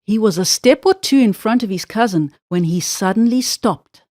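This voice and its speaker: Female storyteller for children's read-aloud, very animated pitch, measured phrasing with drawn-out key words, dry recording. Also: no echo. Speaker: storybook narrator.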